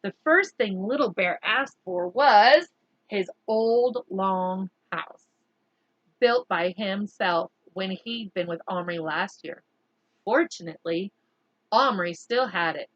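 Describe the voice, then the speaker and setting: A woman reading a chapter book aloud to children in an expressive, deliberate storyteller's way. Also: medium pitch, no echo, muffled recording.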